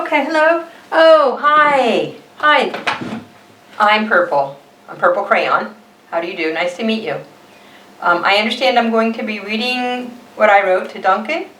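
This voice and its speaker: A woman's voice: medium pitch, a bit bright, roomy sound, distant mic, a little hiss or hum, close to an everyday voice, low-quality recording. A woman voicing an elegant, fussy purple crayon from a storybook, primly polite with strained composure. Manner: prim, mannered, strained composure.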